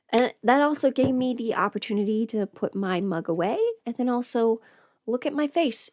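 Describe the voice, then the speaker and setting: A woman chatting casually on a livestream in a halting, rambling way, often trailing off mid-thought. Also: a little high, a little mellow, no room sound, clean studio sound. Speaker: livestream host, audio performer. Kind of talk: casual livestream chat while getting ready.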